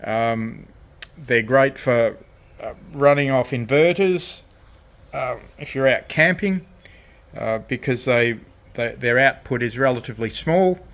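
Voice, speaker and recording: Slow-talking man, halting delivery. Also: mid-range voice, expressive, fairly bright tone. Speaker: DIY electronics hobbyist presenting his project. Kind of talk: unscripted hands-on demonstration. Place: indoor radio shack workbench.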